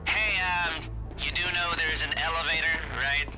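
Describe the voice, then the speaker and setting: An actor playing a nervy, wisecracking heist planner in a video game, rambling through briefings with hesitant, wry asides. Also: male voice, fairly high pitch, fairly even intonation, normal speed, lightly acted, poor audio. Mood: brisk, urgent. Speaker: heist planner giving mission instructions. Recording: loud music behind the voice. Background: loud music.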